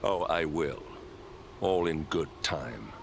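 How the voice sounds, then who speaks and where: A man voicing a stern, indignant knight in a video game, gravelly and curt, with forceful delivery. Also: very deep voice, lively intonation, takes it slowly, a little hiss or hum, heavily acted. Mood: grim. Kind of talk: video game hero voice acting.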